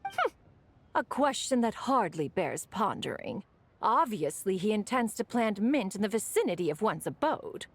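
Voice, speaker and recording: A woman playing a proud, sharp-tongued immortal in a fantasy game, with formal, archaic diction. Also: mid-range voice, big pitch swings, moderate pace, slight background noise. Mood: disdainful. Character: haughty, learned female immortal adeptus, sharp-tongued. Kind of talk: theatrical fantasy game voice acting.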